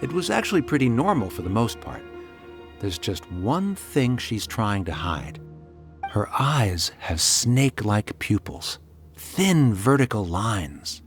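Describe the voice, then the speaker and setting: A man's voice: fairly low pitch, big pitch swings, slow, music in the background, exaggerated voice. An actor playing an earnest, trusting young soldier in a video game, telling his account sincerely. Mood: recounting, intrigued. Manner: sincere, storytelling. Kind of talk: video game character dialogue.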